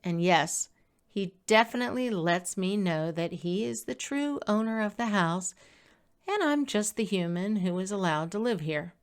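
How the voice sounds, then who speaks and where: A woman reading her own blog post aloud as scripted personal narration, in a conversational, expressive storytelling manner. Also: medium pitch, unhurried, smooth.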